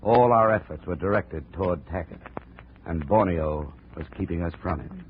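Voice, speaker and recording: A muffled, hissy old radio recording carries a male actor as a hard-boiled investigator. His voice is low and his manner terse and level-headed, in the style of a 1950s adventure drama. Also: moderate pace, lightly acted. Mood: grim narration. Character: tough, level-headed American male investigator narrating his jungle trek. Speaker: lead actor and narrator. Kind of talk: 1950s radio drama acting, first-person narration.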